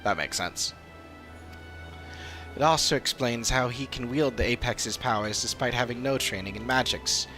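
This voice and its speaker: A man voicing an earnest, analytical adventuring companion in a fantasy game, speaking in a measured, formal way. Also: medium pitch, bright, forceful tone, faint music behind, lightly acted. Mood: thoughtful. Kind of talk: dramatic reading of game dialogue.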